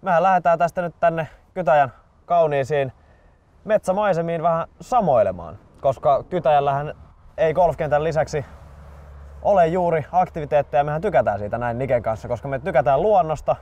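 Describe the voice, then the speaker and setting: A man's voice: a little high, expressive, darkish tone. A man in his twenties chatting casually as a co-host, outdoors. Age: 20s. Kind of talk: casual chat. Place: outdoors at a golf club. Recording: slightly dull, faint outdoor noise.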